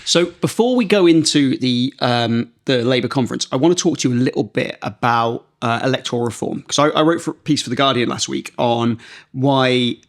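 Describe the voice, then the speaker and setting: A man's voice: mid-range voice, fairly quick. A man hosting a political podcast, explaining things step by step in an informal, chatty way. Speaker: podcast host, political journalist.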